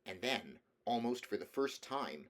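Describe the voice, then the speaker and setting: A man's voice: medium pitch, sing-song, measured pace, a bit bright. A man reading a children's novel aloud as scripted storybook narration. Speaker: audiobook reader.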